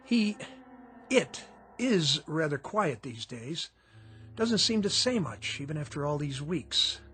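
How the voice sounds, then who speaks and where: Courteous, higher-voiced gentleman visitor. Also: very animated, slow, faint music behind, full character voice. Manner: courteous, chatty. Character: polite male visitor making courteous small talk. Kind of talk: radio drama acting.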